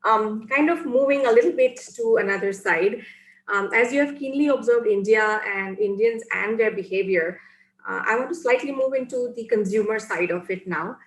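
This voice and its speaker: A woman hosting an academic interview, asking measured questions with frequent uh and um. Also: on the high side, reverberant, low-quality recording. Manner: measured, hedging with uh and um. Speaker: host interviewer.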